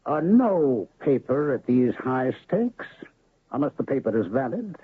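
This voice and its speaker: An actor playing an honest, weary gambler who is a doctor, in an old radio drama, speaking calmly and drily with a gravelly voice. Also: male voice, medium pitch, unhurried, dry recording. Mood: dry. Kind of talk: 1970s radio drama acting. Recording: tinny lo-fi old radio broadcast.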